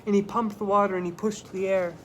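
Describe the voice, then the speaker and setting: High-voiced man reading to children, noisy background. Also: flat delivery, fairly bright tone. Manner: dramatic phrasing, slowing for emphasis. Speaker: children's story reader. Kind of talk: picture-book read-aloud with simple explanatory asides. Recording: clear background noise.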